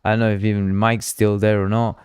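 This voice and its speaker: A man's voice: on the low side, little pitch movement, brisk, darkish tone, clean tone, no room sound, studio quality. A man with a Uruguayan Spanish accent, a native Spanish speaker, hosting a casual live coding stream, rambling and repeating himself as he reads the chat aloud. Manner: drinking while streaming. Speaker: livestream host.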